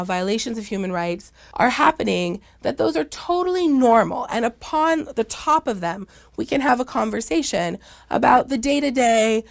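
A woman giving analytical interview commentary, stressing key words deliberately. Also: on the high side, vocal fry.

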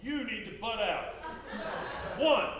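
A man performing a live improv comedy scene as a lazy, sassy fast-food worker who lectures the customer, recorded on a small theater stage. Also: very high voice, expressive. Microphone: distant room mic. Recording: echoey stage from far off, noisy.

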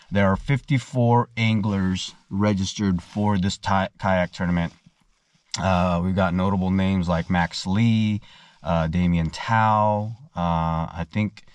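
A man's voice: sits low, no room sound. A young man talking casually to camera outdoors before dawn for a fishing vlog, rambling with lots of ums and uhs. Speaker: fishing vlogger.

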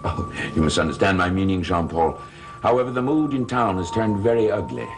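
A middle-aged actor playing a suave, sly gentleman in a gothic soap opera, urbane, wry and formal. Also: low-pitched, sing-song, fairly quick, faint music behind. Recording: old TV soundtrack, faint noise.

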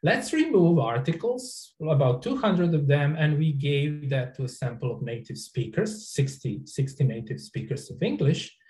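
A middle-aged man, an or Croatian speaker, giving an academic talk over a video call in a halting way with many restarts. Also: fairly high pitch, lively intonation, warm tone, reverberant. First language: Serbian or Croatian. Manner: halting, frequent restarts and fillers. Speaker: researcher giving a lecture. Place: indoor office. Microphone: webcam on a video call.

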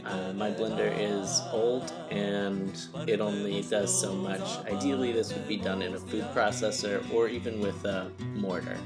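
A young man giving a calm, step-by-step cooking lesson as voice-over. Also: fairly low pitch, unhurried, hardly any pauses, loud music behind the voice. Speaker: cooking teacher. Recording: distant echoey room with noise, video call.

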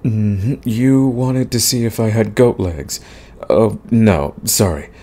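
A man playing a big, grumpy but soft himbo demon in a romantic comedy audio roleplay, husky and stammering in protest. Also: lowish voice, slow. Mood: flustered, softening. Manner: grumbling, sighing, stammering protests. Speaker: voice actor.

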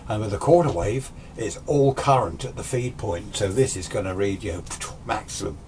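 Low-voiced older British man, rambling informally. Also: normal speed. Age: 60s. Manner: casual, rambling, self-deprecating. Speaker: amateur radio hobbyist presenter. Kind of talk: informal technical explanation. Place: small indoor home radio workshop. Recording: steady background noise.

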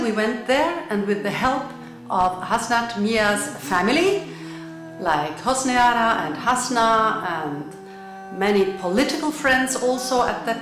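A middle-aged woman from Switzerland and Luxembourg, a visual artist speaking to camera in a measured, explanatory way with some fillers. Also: medium pitch, echoey room. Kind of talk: recorded artist talk to camera. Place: indoor room with bare wall. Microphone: camera framed at chest height.

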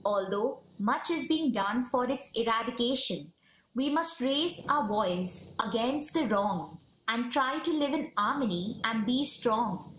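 A young woman from India with an Indian accent, reciting a poem in a measured, declamatory way. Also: fairly high pitch, expressive, a bit bright. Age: young adult. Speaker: poem presenter. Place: small room at home. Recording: roomy home recording with noise.

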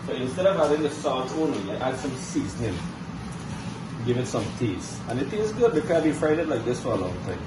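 A man's voice: medium pitch, big pitch swings, brisk. A man in his forties with a Guyanese accent, chatting casually as he presents a home cooking vlog. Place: home kitchen, indoors. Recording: noisy kitchen, loud appliance hum.

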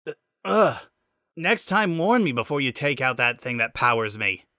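A man's voice: medium pitch, animated, unhurried, pushed and bright, no room sound, clean studio sound. Man doing a brash, cocky young robot. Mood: startled, grumbling. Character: cocky, joking young male robot, easily indignant.

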